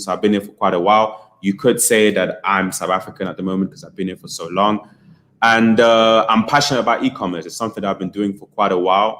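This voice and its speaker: Brief: young British man, lowish voice, chatty livestream host, upbeat and encouraging. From United Kingdom. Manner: chatty, encouraging, repeats phrases, frequent fillers. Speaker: business coach hosting a livestream. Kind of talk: casual livestream talk to chat.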